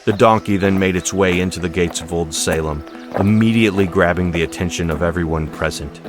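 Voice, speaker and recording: A man's voice: low-pitched, a little fast. A man reading a story as a dramatic audiobook narrator. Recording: music behind the voice.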